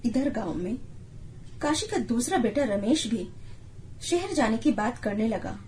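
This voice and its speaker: Female storyteller, higher register, scripted moral-tale narration, faint ambient noise behind. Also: very animated, unhurried, darkish tone.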